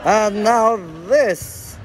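A man giving excitable play-by-play commentary while filming a soccer match on a phone from the sideline of a large indoor hall. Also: high voice, very slow, some chatter behind. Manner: excitable, exclaiming at each chance. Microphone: phone camera filming from the sideline. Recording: noisy phone recording in a big hall.

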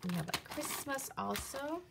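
Gravelly-voiced woman reading CD covers aloud. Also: high voice, lively intonation, background noise. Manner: halting, many fillers and restarts while reading. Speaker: video creator reviewing CDs. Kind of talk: casual show-and-tell, reading back covers aloud. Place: indoors at a table. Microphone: phone camera filming her hands on a table from above.

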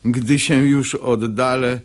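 An actor playing a sanctimonious, scheming hypocrite who feigns wounded humility in unctuous, martyred verse in a radio comedy. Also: male voice, mid-range voice, steady tone, slow pace, natural voice.

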